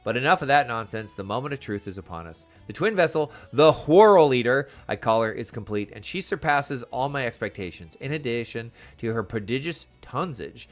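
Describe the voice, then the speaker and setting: A man's voice: medium pitch, lively intonation, moderate pace, soft background music, natural voice. A man voicing a hearty, proud shipwright from a fantasy game, with bluff, theatrical, old-fashioned speech.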